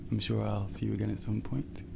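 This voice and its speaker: ASMR taxi driver role: male, low and gravelly yet hushed, reassuring slow delivery over clear rainy car ambience. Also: level tone, heavy background noise. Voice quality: soft, breathy, often near-whispered. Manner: gentle, unhurried, reassuring. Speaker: ASMR role-play performer as late-night taxi driver. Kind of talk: soft-spoken ASMR role play. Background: rain and car sounds.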